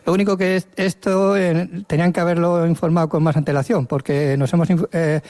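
A man's voice: on the high side, level tone, a little fast, darkish tone. A man from Ciudad Real with a La Mancha accent, a parent chatting hesitantly in an interview. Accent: La Mancha Spanish. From Ciudad Real, Spain. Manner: hesitant, rambling, with restarts. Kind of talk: casual on-the-spot interview.

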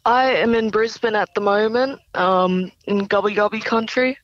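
A young woman, a singer-songwriter, speaking softly and hesitantly in a radio interview over a remote line. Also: mid-range voice, normal speed, dry recording, muffled recording. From Australia. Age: young adult. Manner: soft-spoken, hesitant, with many fillers.

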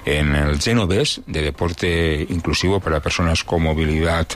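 A man, giving a casual local news roundup on the radio, chatty and halting with fillers. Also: extremely low pitch, slight background noise.

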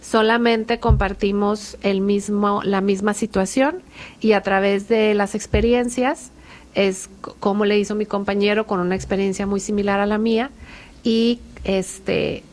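A woman talks through her own family experience for a discussion panel. She speaks earnestly and haltingly, restarting phrases and filling pauses, at an ordinary middle pitch. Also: steady tone, a little mellow. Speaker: Al-Anon member sharing experience.

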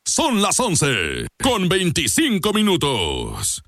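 A man giving a radio station ID slowly, drawing out each word. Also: fairly high pitch, wildly swooping pitch. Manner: slow, drawn-out delivery. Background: electronic music.

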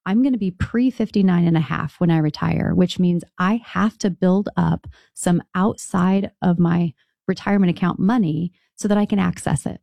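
Low gravelly-voiced woman advisor. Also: warm tone, dry recording. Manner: explanatory, conversational, with restarts. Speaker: financial advisor, guest. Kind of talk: radio call-in advice chat.

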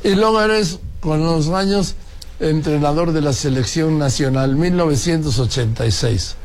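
Casting: older male interviewer, Mexican accent, voice a touch higher than average, conversational. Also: normal speed, darkish tone. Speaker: interviewer, host.

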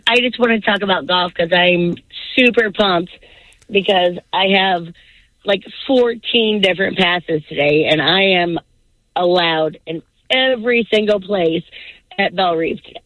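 A woman's voice: lowish voice, unhurried, fairly bright tone, no echo. A woman with a scratchy voice calling into a talk show from her car, brash and playful, rambling as she talks. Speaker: caller. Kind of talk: casual phone-in chat. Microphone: phone.

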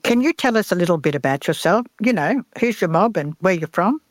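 A woman's voice: fairly low pitch, animated, a little fast, no room sound. A woman hosting a radio interview, asking friendly, curious questions. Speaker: radio host.

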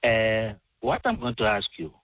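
A man's voice: lowish voice, big pitch swings, no room sound. Hesitant fifties man on a phone line. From South Africa. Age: 50s. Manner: hesitant, halting, many fillers. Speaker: caller.

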